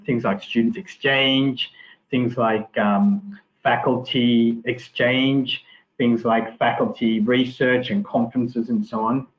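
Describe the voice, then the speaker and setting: A middle-aged Australian man, a marketing professor giving a webinar lecture in a relaxed, chatty way with many fillers and restarts. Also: mid-range voice. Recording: tinny phone-like online audio.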